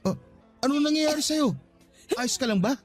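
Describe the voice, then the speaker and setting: A man's voice: extremely high pitch, animated, normal speed. An actor playing an earnest, anxious young father in a Tagalog radio drama, speaking conversationally with many questions. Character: earnest, anxious young father proposing to his estranged partner. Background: music bed.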